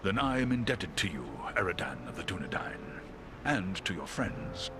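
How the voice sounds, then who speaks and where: A man voicing a noble, courteous talking eagle in a video game, speaking formally and with measured gravity. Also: sits low, big pitch swings, noisy background. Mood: grateful, dignified. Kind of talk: video game cutscene acting.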